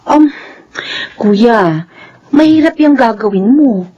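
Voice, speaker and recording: An actress playing an excitable, concerned younger cousin in a Filipino radio drama, expressive and prone to stammering. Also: female voice, on the high side, slow pace, breathy edge, exaggerated voice. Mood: worried. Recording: dull radio drama sound.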